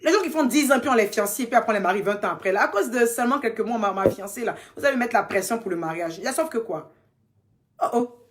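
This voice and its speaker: A young woman with an Ivorian French accent, chatting casually as she reads out fan questions and answers them, teasing and affectionate, sometimes dropping to soft, quiet asides. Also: on the high side, expressive, fast, noisy background. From Côte d'Ivoire. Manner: chatty and teasing, calls fans "ma chérie". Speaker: social media personality answering fan questions. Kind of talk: casual live Q&A reading viewer comments.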